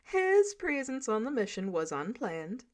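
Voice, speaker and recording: A woman's voice: a little high, big pitch swings, measured pace. A woman voicing an exasperated, wry, protective male superhero mentor with a dry, weary manner, in a story reading.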